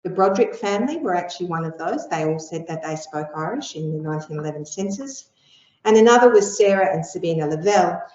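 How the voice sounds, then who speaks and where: Low-pitched middle-aged woman lecturing, steady and explanatory, dull lo-fi sound. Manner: measured, explanatory, with frequent ums. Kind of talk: academic lecture with slides. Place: lecture room. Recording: echoey room, dull lo-fi sound.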